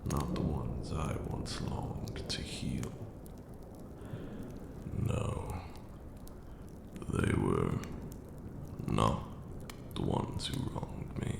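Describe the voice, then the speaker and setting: A man voicing an ancient, brooding vampire lord who mentors with dark grandeur and remorse in a hushed, formal ASMR roleplay monologue. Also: extremely low pitch, very animated, reverberant, big-room sound, very noisy, heavily acted. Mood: bitter, remorseful. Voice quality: gravelly, breathy drops into near-whisper. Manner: slow, deliberate, hushed, formal archaic phrasing.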